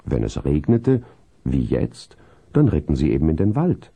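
A man playing the storyteller of a children's horse tale, with a gravelly, husky voice and scripted storybook phrasing. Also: rumbling low, wildly swooping pitch, normal speed, warm tone, exaggerated voice. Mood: calm, atmospheric. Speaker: narrator.

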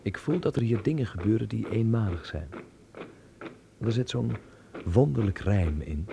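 An actor playing a young Dutch amateur filmmaker in a radio drama, wry and observant, with a reflective, measured delivery and a gravelly voice. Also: male voice, lowish voice, animated. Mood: musing, wry. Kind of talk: radio drama acting and letter narration. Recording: noisy old radio play recording.

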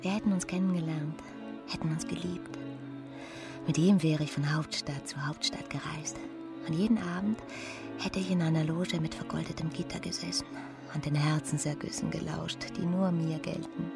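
A young wife in a literary radio drama, romantic and impatient. Her voice sits fairly low and turns intimate and yearning. Music plays behind her. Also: steady tone, normal speed, gravelly. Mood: dreamy, yearning. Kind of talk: German radio play acting.

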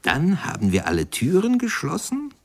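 A man's voice: a little high, wildly swooping pitch, moderate pace, darkish tone, full character voice. A man acting in a German radio play as a musing biographer-narrator who conversationally questions and prompts his listener. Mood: coaxing. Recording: music clearly behind the voice.

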